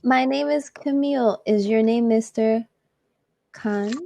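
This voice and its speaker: A woman from Miami, a singer and artist tutoring on a video call, cheerful and quick to laugh. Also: on the high side, vocal fry, warm tone. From Miami, Florida. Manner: cheerful, gushing, laughs often. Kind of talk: casual video-call conversation.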